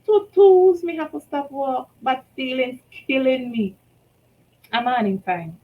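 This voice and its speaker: A Vincentian woman reading her own short story aloud, telling it in rhythmic Creole with lively shifts in loudness. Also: high-pitched, a little slow, muffled recording. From St. Vincent and the Grenadines.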